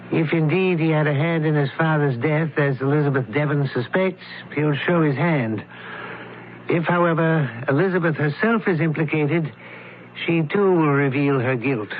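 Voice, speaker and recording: A man playing a shrewd, composed doctor sleuth with a measured, probing, courteous manner in a radio drama. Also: on the high side, lightly acted. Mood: composed, scheming. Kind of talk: old-time radio drama acting. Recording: thin, noisy phone-like vintage radio recording.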